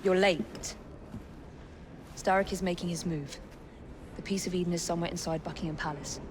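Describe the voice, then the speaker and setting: A woman's voice: lowish voice, steady tone, moderate pace, background noise, natural voice. A woman playing a composed, principled Victorian sister in a video game, scolding with clipped, dry reproach. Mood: cool reproach. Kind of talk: dramatic video game dialogue.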